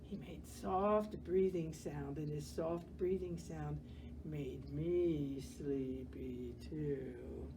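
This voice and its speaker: Slow-paced woman reading a picture book aloud. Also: low voice, background noise. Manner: gentle, expressive, pauses to comment on pictures. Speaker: library storyteller. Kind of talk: read-aloud children's picture book narration.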